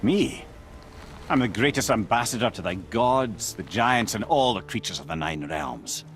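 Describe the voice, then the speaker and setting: An actor playing a wise, witty and boastful captive sage in a video game, speaking in a wry, theatrical way. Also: male voice, medium pitch, lively intonation, measured pace, vocal fry, a bit bright, slight background noise. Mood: proud, boastful. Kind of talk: dramatic game acting.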